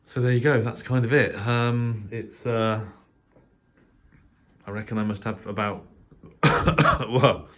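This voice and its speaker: A man's voice: medium pitch, fairly even intonation, slow, pauses often, fairly bright tone. A middle-aged English man giving a casual, unscripted narrated tour as a hobby host, speaking in a hesitant, rambling way. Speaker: shortwave radio hobbyist and channel host. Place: small spare bedroom radio shack, indoors. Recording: clearly noisy home room recording.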